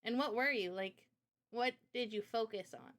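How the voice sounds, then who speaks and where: A woman's voice: on the high side, moderate pace, fairly bright tone. A woman chatting casually on a livestream Q&A in a giggly, informal way, as the host. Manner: giggly, laughs often while talking. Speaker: host and visual novel developer.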